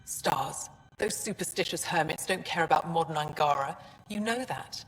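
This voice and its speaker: An actress playing a guarded, no-nonsense female alien scientist in a video game, speaking in a measured, frank way. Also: low voice, lightly acted. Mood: scornful. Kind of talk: video game dialogue acting. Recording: long reverb, music underneath.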